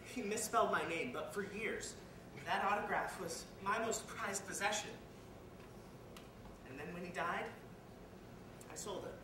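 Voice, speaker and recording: A woman playing a bitter, guilt-ridden, washed-up reindeer parent in a confessional dramatic stage monologue that swings between hushed and loud. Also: mid-range voice, a little slow, long pauses, close to an everyday voice. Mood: wry, nostalgic. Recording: echoey, distant, noisy live room recording.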